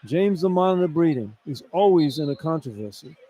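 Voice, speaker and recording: Blunt, higher-voiced male basketball color commentator. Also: animated, brisk, dark tone. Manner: blunt, opinionated. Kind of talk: conversational sports color commentary. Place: courtside in a basketball arena. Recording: clear arena noise behind broadcast.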